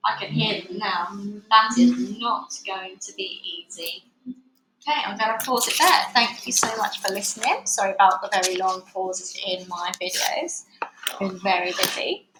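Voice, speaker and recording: Female children's book reader, bright and animated delivery, echoey room with faint noise. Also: mid-range voice, moderate pace. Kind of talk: animated read-aloud narration.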